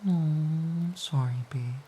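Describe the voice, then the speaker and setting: A woman playing a playful, affectionate, teasing partner in an intimate audio roleplay of soft pillow talk. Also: low voice, slow. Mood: soft, apologetic. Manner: teasing, giggly, mock-pouty. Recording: faint background hiss.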